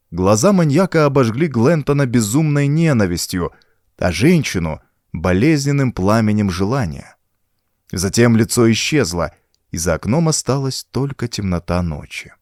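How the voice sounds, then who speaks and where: Выразительный рассказчик с низковатым голосом. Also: male voice, on the low side, moderate pace, no echo, clean studio sound. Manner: expressive, suspenseful. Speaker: audiobook narrator. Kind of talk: dramatic scripted narration of a pulp horror story.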